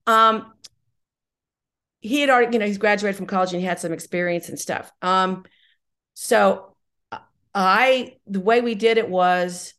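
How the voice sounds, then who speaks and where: A woman's voice: mid-range voice, a little slow, long pauses, fairly bright tone, clear, resonant tone. A middle-aged woman answering interview questions conversationally as a podcast guest, enthusiastic and emphatic, often repeating herself. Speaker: podcast guest, audio drama writer-director.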